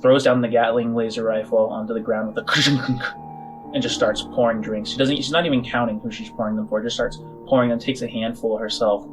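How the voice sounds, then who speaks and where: A man hosting and narrating a tabletop roleplaying game in halting, improvised short phrases. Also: medium pitch, steady tone, low-quality recording. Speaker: game master and host of a tabletop game show. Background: music.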